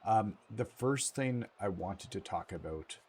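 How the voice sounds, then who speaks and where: A hoarse, sick man giving a casual gameplay walkthrough, halting with lots of ums as he saves his voice. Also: fairly low pitch, animated, a little mellow, a little hiss or hum. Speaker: game commentator.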